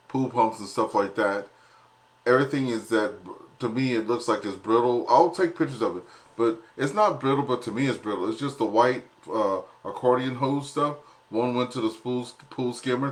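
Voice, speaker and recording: Man, medium pitch, casual amateur radio chat, rambling, folksy, plain wording. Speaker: amateur radio operator on a net.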